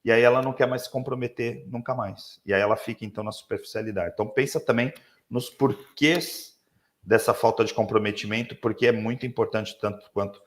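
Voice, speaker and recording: Hoarse Brazilian man on a live webinar. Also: mid-range voice, a little fast. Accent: Brazilian Portuguese. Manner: reflective, lots of tag questions. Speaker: leadership speaker.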